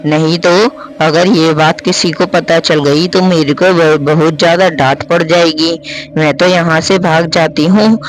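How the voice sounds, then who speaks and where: A man's voice: on the high side, steady tone, measured pace, a little hiss or hum, close to an everyday voice, poor audio. A man voicing a hot-tempered, defiant schoolgirl in a spoken story, with a brash, quarrelsome manner. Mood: panicked. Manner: brash, quarrelsome, pleading when accused.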